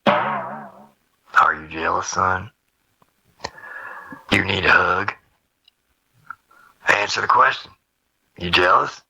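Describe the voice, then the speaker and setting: Man acting a gravelly, taunting tough guy. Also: sits low, drawn-out words, pauses often, pushed and bright, heavily acted, poor audio. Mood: mocking. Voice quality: gravelly, husky. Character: taunting, intimidating man demanding an answer. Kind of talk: audio drama acting.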